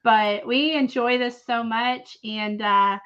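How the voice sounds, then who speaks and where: A woman's voice: fairly high pitch, steady tone, takes it slowly, a bit bright, clear, resonant tone. A woman, co-hosting a casual livestream chat and laughing often. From South Texas. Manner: chatty, laughs often, says y'all. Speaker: livestream co-host. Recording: lo-fi stream audio.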